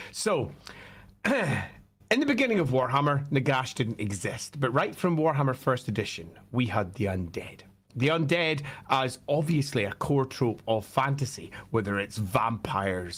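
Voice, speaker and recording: A man with a slightly croaky, hoarse voice, talking casually and emphatically as a host explaining fan topics. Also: medium pitch, fairly bright tone.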